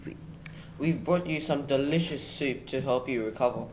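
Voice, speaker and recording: A man's voice: mid-range voice, moderate pace, close to an everyday voice. A male performer playing a keen, helpful boy hero in an amateur school radio play. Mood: curious. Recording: faint noise, birdsong behind.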